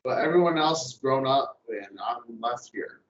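A man's voice: mid-range voice, lively intonation. Earnest young man in an echoey room. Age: young adult. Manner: earnest, halting, frequent "you know". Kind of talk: casual chat. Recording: echoey, dull lo-fi sound.

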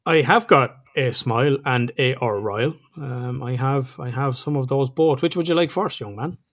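A man's voice: mid-range voice, normal speed. Irish guy cohosting a podcast, chatty, laughs a lot, swears a bit. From Ireland. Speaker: podcast co-host. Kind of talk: casual podcast chat.

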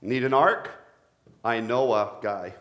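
Middle-aged male pastor, deep-voiced, preaching with a playful joking manner. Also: slow, a bit bright. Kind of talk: sermon with corny jokes.

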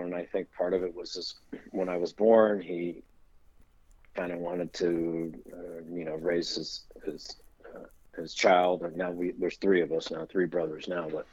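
A man's voice: low voice, level tone, normal speed, darkish tone, no echo, highs cut off. A middle-aged man, a guest on an interview show, telling easygoing, rambling anecdotes about growing up. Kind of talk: casual storytelling interview.

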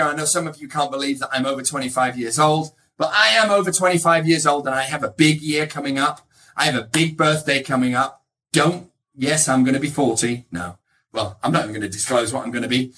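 Middle-aged motivational speaker from England. Bright, forceful voice, emphatic and conversational, widely swinging intonation. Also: mid-range voice. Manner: emphatic, conversational, checks in with rhetorical tags. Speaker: motivational speaker, real estate agent. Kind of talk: motivational talk to an audience.

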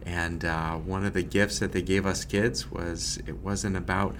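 Earnest deep-voiced man from Massachusetts. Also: flat delivery, unhurried, fairly bright tone, slight background noise. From Massachusetts, USA. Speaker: guest, nonprofit executive. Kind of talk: interview answers.

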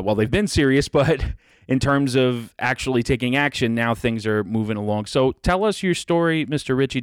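A man hosting a podcast, introducing his guest in a relaxed way with lots of ums. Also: medium pitch, smooth, no room sound, studio quality. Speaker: podcast host. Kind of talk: casual podcast interview.

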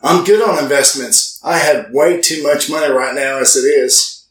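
A man's voice: medium pitch, normal speed, a bit bright. A man casually reading out joke replies for comedy, playful and mock-earnest. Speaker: podcast host. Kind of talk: casual comic read-aloud of joke text replies. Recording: echoey, distant mic, clear background noise.

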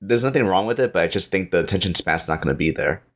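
Male podcast guest, low voice, informal storyteller who acts out bits of dialogue. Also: lively intonation, fast. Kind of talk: casual storytelling.

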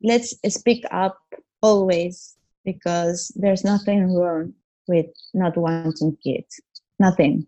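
A woman's voice: fairly low pitch, fairly even intonation, slow, dark tone, rough recording. A woman in her forties from Peru, a podcast guest talking frankly and plainly, laughing often. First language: Italian. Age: 40s. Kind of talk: casual interview chat.

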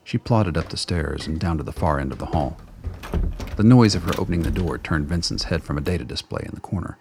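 A man reading the narration of a radio play in a measured, wry storytelling manner. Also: sits low, animated, noisy background. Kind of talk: scripted narration.

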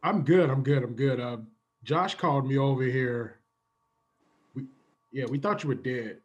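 A man playing an awkward, hesitant visitor in an improvised comedy scene, halting and repeating himself. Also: mid-range voice, muffled recording, lightly acted. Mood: awkward, sheepish.

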